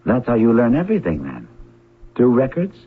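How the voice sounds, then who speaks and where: An actor playing an earnest, probing, worried family friend in a 1970s radio drama. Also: male voice, mid-range voice, huge pitch swings, moderate pace, breathy edge. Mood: gently probing. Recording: tinny, noisy old radio recording with hum.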